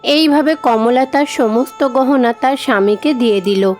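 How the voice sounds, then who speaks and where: A woman tells a scripted cartoon folk tale as its narrator. Her voice is high, and faint music runs behind it. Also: unhurried, a little mellow.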